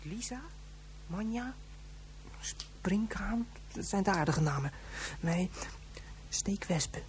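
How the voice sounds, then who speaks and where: A woman's voice: mid-range voice, animated, slow, darkish tone, slight background noise. A woman playing a playful, gushing child who muses aloud breathily in a radio drama. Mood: playful, musing.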